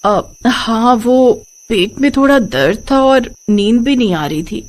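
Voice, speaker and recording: A woman playing a kind, high-voiced sister-in-law. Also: lively intonation, measured pace, poor audio. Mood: hesitant. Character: kind, self-sacrificing sister-in-law, young married woman. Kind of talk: animated moral story dialogue.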